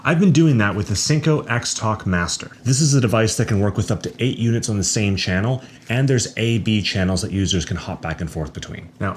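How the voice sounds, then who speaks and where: A man's voice: on the low side, slight background noise. A man who works as a sound recordist, narrating a scripted product review.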